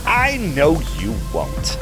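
An actor playing a smooth, shady vampire businessman in an audio drama, speaking in a measured, self-satisfied, commanding way. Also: medium pitch, huge pitch swings, over music. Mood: smug, pleased.

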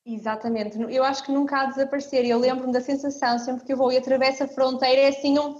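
A woman's voice: sits high, little pitch movement, fast, a little mellow, muffled recording, a little hiss or hum. A young woman from Ukraine, talking reflectively as an interview guest. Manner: reflective, speaks in short clauses with frequent "não é?". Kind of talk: casual interview conversation.

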